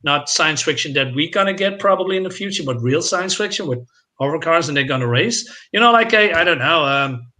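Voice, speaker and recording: A man's voice: on the high side, expressive, brisk, pushed and bright. A man chatting casually as a podcast co-host, enthusiastic and swearing freely. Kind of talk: casual chat.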